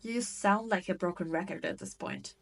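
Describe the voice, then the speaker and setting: A woman's voice: mid-range voice, vocal fry. A woman voicing a cruel, haughty demon queen in a roleplay audio drama, with a teasing, condescending manner. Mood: haughty, matter-of-fact. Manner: slow, teasing, condescending drawl with theatrical emphasis.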